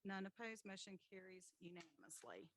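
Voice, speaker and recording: A woman's voice: medium pitch, animated, a little fast, fairly bright tone, dry recording. A woman chairing a city committee meeting, moving through motions and votes in a businesslike way with frequent filler words. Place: city hall meeting chamber.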